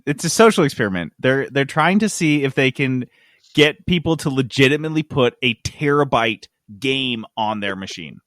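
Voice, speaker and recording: A man's voice: mid-range voice, animated, moderate pace, fairly bright tone, dry recording, clean studio sound. A man co-hosting a casual gaming podcast, talking in a joking, sarcastic way. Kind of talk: casual gaming news chat.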